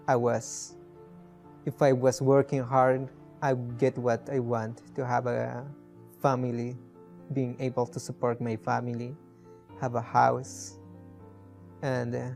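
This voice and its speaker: Slow, even-toned young Guatemalan man. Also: mid-range voice, vocal fry. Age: young adult. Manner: halting, simply worded. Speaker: scholarship honoree. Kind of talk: personal story in a documentary video. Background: guitar music.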